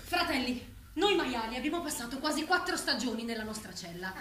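A woman's voice: high voice, very animated, a little fast, fairly bright tone, a little hiss or hum. A woman playing a persuasive female pig who leads freed farm animals, declaiming in a theatrical stage style. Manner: declamatory, exhortatory. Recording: echoey theatre recording with music.

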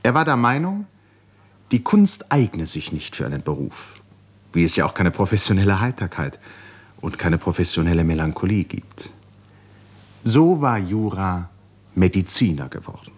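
Narrator, male: deep and husky, 1950s literary radio drama, deliberate, dramatic phrasing with hushed falls. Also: very animated. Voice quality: breathy, husky.

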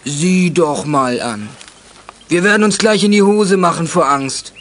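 A man's voice: a little high, expressive, a little slow, fairly bright tone, slight background noise. An actor playing a taunting, threatening teenage bully boy in a radio play, mocking in slang. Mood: sneering threat.

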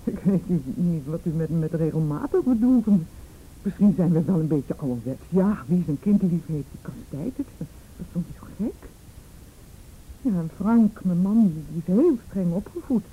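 A woman's voice: lowish voice, expressive, normal speed, narrow, tinny audio, slight background noise, close to an everyday voice. An actress playing a defensive, frightened mother in a Dutch radio play, hesitant and evasive, with an indignant edge. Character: defensive, evasive, frightened mother shielding her abusive husband.

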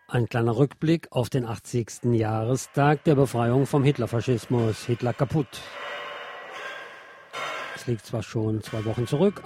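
A man's voice: medium pitch, warm tone, slight background noise. A man presenting a radio magazine, reading scripted news commentary aloud.

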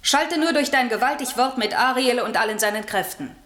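A woman's voice: on the high side, a little fast, fairly bright tone, natural voice. An airy spirit servant played by a woman speaks in a wash of echo. The spirit is eager and proud, declaiming verse with vivid theatrical flourish, as in a classical German radio play. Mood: exultant.

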